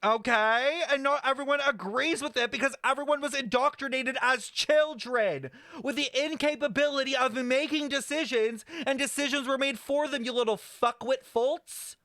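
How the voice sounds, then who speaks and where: A woman's voice: sits high, moderate pace, hardly any pauses, fairly bright tone, dry recording. A woman streaming games, giving casual, profane, blunt reaction commentary with exaggerated outrage. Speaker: game streamer.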